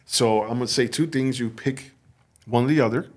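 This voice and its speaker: A man's voice: medium pitch, a little fast. A man hosting a podcast, chatting casually with a playful, teasing manner and easy laughter. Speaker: podcast host. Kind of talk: casual interview chat. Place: podcast studio.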